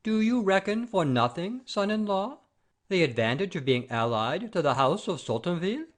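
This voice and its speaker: A man's voice: high-pitched, big pitch swings, normal speed. An actor playing a proud, blustering baron and father-in-law in a theatrical comedy audio play, pompous and declamatory. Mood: haughty. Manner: pompous, oath-swearing, declamatory.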